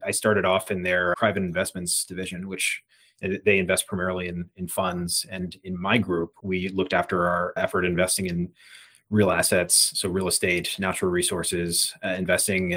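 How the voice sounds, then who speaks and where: Man, thirties, very low voice, from the Philadelphia area, podcast guest, conversational, restarts phrases. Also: little pitch movement, moderate pace, a bit bright, breathy edge. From United States (Philadelphia area). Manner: conversational, frequent restarts and repeated words. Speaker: guest, fund manager. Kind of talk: podcast interview.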